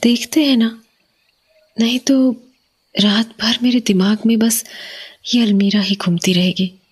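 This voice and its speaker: A woman playing an uneasy, suspicious young wife in a horror audio drama, hesitant and hushed, lingering on words in a breathy voice. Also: on the high side, steady tone, measured pace. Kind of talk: Hindi horror audio drama, acted dialogue.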